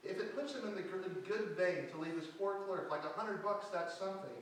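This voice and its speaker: A man's voice: sits high, brisk, lightly acted. A man playing a good-natured, cheerful nephew, chatty and forgiving, in staged reader's theatre. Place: indoor hall stage with seated audience. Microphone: far camera. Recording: distant, noisy, echoey hall recording.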